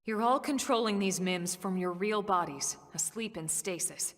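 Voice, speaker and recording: A woman playing a composed, resolute military team leader in a video game, firm and measured. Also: medium pitch, a bit bright, lightly acted. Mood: grave. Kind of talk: dramatic video game cutscene acting. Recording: long reverb, music behind.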